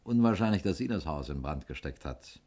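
An actor in a 1960s German radio play playing a dry, wry, matter-of-fact male police investigator who explains things deliberately. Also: a bass voice, animated, a little mellow. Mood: wry, concerned.

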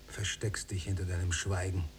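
An actor playing a bitter, sardonic younger prisoner in a radio play, with dry, biting muttered remarks. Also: male voice, deep voice, little pitch movement, normal speed, slight background noise, natural voice. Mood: sardonic, muttering.

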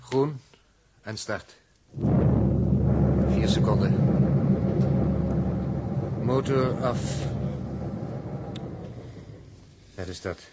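An actor with a Netherlands Dutch accent playing a calm, resolute spaceship commander in 1960s radio drama, husky, deliberate and authoritative. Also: male voice, medium pitch, heavy background noise. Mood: brisk, focused.

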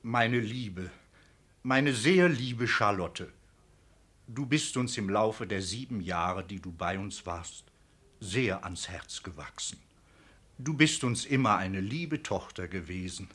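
An older man playing a kindly old provost in a radio drama, making a ceremonious, halting, sentimental speech. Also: fairly low pitch, animated, a bit bright, breathy edge, heavily acted. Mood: solemn, moved. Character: kindly, solemn old provost and foster uncle.

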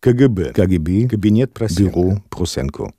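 A man's voice: deep voice, expressive, a little fast, warm tone, no echo. A man narrating a scripted radio drama, tersely announcing scenes phrase by phrase in two languages.

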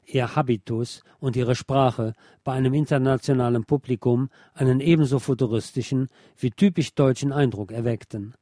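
Mellow man reading scripted narration, dry studio sound. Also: mid-range voice, dry recording. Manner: measured, formal reading. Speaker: narrator and audiobook reader of a radio programme. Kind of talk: scripted narration of a nonfiction book.

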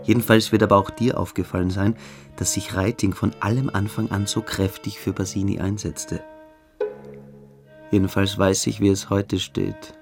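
Cold scheming male cadet, radio play, creaky low voice, deliberate, faint music behind. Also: little pitch movement, darkish tone. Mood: conspiratorial, controlled. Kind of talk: German radio drama acting.

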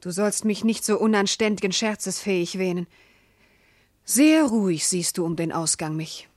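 An actress playing a proud, loving, wounded noble wife in classical verse radio drama, speaking in an earnest, elevated way. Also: female voice, medium pitch, normal speed, darkish tone, close to an everyday voice. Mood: indignant, wounded.

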